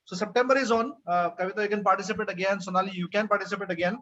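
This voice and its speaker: A man's voice: high voice, fairly even intonation, rapid-fire, bright, forceful tone. A man with an Indian accent informally hosting a book club and thanking the participants. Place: online group meeting. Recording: muffled online call with noise and clicks.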